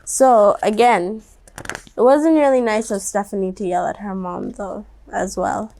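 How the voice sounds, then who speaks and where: A woman's voice: medium pitch, steady tone, a little slow, dark tone, a little hiss or hum. A woman reading a picture book aloud to children, conversational and speaking directly to her young listeners. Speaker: storybook reader.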